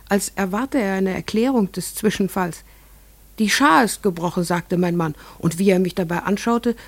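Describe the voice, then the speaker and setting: A farmer's wife tells her own strange story in a radio play. Her narration is dreamy and measured, often dropping to a hush and swelling with rapt wonder. Her voice sits in a mid-range pitch. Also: creaky. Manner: dreamy, hushed in places, rising to rapt wonder. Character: farmer's wife, adult mother, haunted and wondering. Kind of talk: scripted first-person narration in a radio play.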